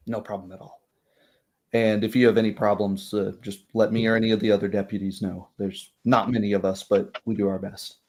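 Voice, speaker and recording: A man's voice: on the low side, little pitch movement, a little fast, darkish tone. A man playing a courteous, modest town deputy in a tabletop game, polite and obliging. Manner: polite, obliging, plain-spoken.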